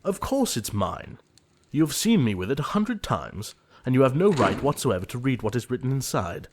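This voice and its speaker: A man acting an earnest, easily exasperated young English gentleman in an audio play of a period comedy, formal and emphatic. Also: medium pitch, big pitch swings, moderate pace, slight background noise. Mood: huffy.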